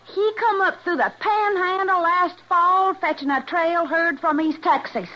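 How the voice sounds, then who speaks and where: A woman's voice: very high voice, steady tone, measured pace, pushed and bright, exaggerated voice. An actress playing a fiery, vengeful young ranch girl in a radio western, with a folksy rural American West accent and blunt speech. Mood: bitter, stubborn. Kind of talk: old-time radio western acting. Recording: muffled vintage radio recording.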